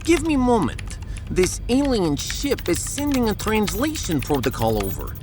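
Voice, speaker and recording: A man playing a sharp-tongued female starship officer in a sci-fi audio drama, blunt and sardonic. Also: high voice, wildly swooping pitch, moderate pace. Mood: dry, sardonic. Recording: music behind.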